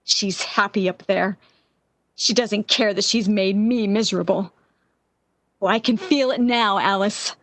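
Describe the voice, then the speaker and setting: A woman's voice: medium pitch, unhurried, a bit bright, no room sound. An actress playing a lovesick, obsessive jilted young woman in the impassioned style of 1940s radio drama. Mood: bitter, resentful. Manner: melodramatic, yearning, impassioned.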